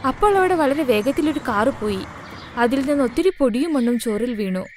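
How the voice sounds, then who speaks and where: A woman narrator tells a scripted bedtime-style moral story. Her voice sits high in pitch. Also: lively intonation, a little fast, a little mellow, a little hiss or hum.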